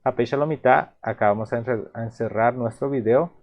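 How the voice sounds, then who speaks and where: A man from Paraguay with a Paraguayan Spanish accent and a Guarani mother tongue, teaching phrases in a relaxed, halting way with many repeats and restarts. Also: medium pitch, lo-fi sound. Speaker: language teacher. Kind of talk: explaining vocabulary in a video lesson.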